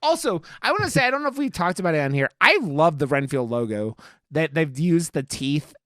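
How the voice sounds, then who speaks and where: Clear-voiced man rambling through casual podcast chat. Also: a little high, very animated, a little fast, fairly bright tone, no echo, clean studio sound. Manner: rambling, heavily swearing, emphatic. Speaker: podcast co-host. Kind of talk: casual film review chat.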